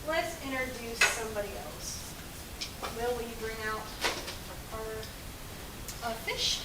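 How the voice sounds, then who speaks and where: A woman puppeteer giving an informal demonstration to an audience, explaining as she goes. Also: on the high side. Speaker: puppeteer presenter. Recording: roomy, distant mic with hiss and noise.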